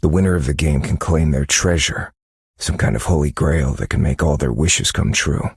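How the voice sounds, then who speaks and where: An actor playing a brooding detective who narrates a dark mystery game story in a grave, measured, dramatic manner. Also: male voice, a bass voice, husky, dry recording, studio quality, heavily acted. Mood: ominous, grave.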